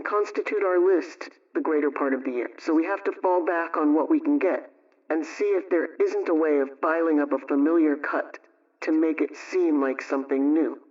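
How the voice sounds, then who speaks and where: A man's voice: fairly high pitch, darkish tone. A man presenting a homemaking radio program as scripted narration. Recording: distant, dull lo-fi radio sound.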